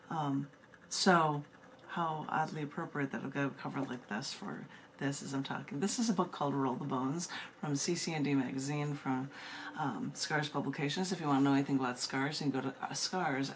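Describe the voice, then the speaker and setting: A woman's voice: deep voice, vocal fry. A woman with an American accent, a poet presenting and reading her own work live, emphatic and informal by turns. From United States. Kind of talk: live poetry reading with informal spoken introductions. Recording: noticeable background noise and room ambience.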